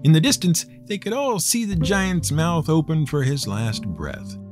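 Male storyteller, wry and theatrical, hugely varied intonation, slightly high pitch, light music. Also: big pitch swings, unhurried. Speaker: storyteller and show host. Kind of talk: scripted storytelling narration.

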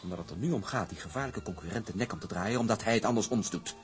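Actor as low-voiced, condescending shipping heir. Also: male voice, fast talker, lightly acted. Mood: condescending, hard. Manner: condescending, evasive. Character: cold, condescending, ruthless young shipping heir. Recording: music behind the dialogue.

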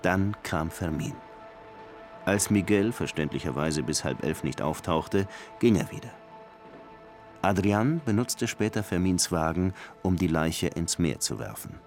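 An actor playing a somber police detective who narrates a murder case in a measured, matter-of-fact crime radio drama style. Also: male voice, low voice, animated, a little mellow. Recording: music under the voice.

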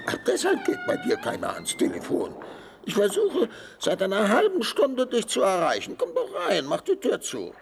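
An actor playing a fussy, excitable insurance director in a children's audio drama, speaking in halting, emphatic phrases. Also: male voice, very high voice, creaky, slight background noise, full character voice. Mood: insistent.

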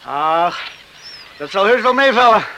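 Eager young farmhand son, really high voice, takes his time, old radio play, bit noisy. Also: expressive, unhurried, pushed and bright, heavily acted. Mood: cheerful, determined. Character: eager hardworking young farm son, confident. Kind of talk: radio drama dialogue.

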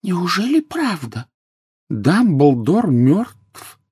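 A hushed, shocked lady of an enchanted painting, performed by a man. The voice is pushed high and breathy-rough, in a storybook manner. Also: sing-song, very slow, no room sound, clean studio sound, heavily acted. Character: shocked, whispering woman in an enchanted portrait.

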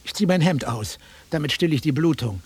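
Raspy, irritable city man. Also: on the high side, animated, fairly quick. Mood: grudging, strained. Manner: curt, sarcastic. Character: irritable, sceptical city-bred man, dry and put-upon. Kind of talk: German radio drama acting.

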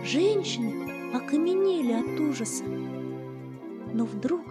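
A woman narrating a children's tale as an audiobook, in an expressive, measured literary manner. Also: high-pitched, loud music behind the voice. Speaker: storyteller, narrator.

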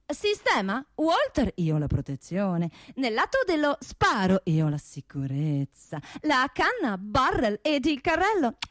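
A woman's voice: on the high side, wildly swooping pitch, unhurried, few pauses, fairly bright tone, no room sound, studio quality, exaggerated voice. A woman voicing a proud, seductive female pistol that recites its own parts like an incantation in a theatre monologue. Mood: proud, incantatory.

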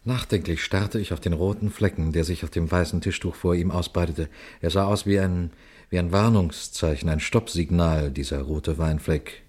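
An actor playing a sober, watchful police detective, telling his case in a measured, formal radio crime drama style. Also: deep voice, a little mellow, breathy edge. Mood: tense, observant. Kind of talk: radio crime drama, suspenseful first-person narration and dialogue.

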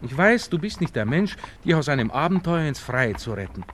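A worldly young Viennese gentleman in an old radio play. His highish voice swings freely as he lectures and urges in a genial way. Some background noise runs under the dialogue. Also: very animated, fairly quick. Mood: urging, persuasive. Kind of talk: 1960s German radio drama acting. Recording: noisy old radio play with outdoor ambience.

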